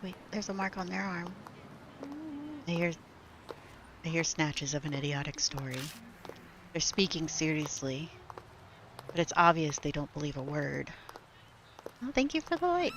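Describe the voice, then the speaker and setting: A woman's voice: fairly low pitch, lively intonation, measured pace, pauses often, noisy background. A woman streamer reading a story game aloud into a desk microphone at home, chatting casually with lots of "like" and "um" fillers. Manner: casual, soft-spoken, full of "like" and "um" fillers.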